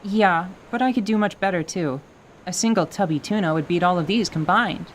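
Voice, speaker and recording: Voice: mid-range voice, brisk, a little hiss or hum, voice pushed up, lightly acted. A performer voicing an eager, stubborn young winged girl with a pleading, youthful manner in an audio drama.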